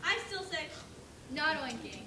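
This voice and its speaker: A woman's voice: high-pitched, very animated, measured pace, exaggerated voice. A young female performer playing a know-it-all pig juror in a pert, matter-of-fact way in a stage play. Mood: skeptical. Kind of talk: amateur stage play acting. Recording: distant echoey stage recording, faint noise.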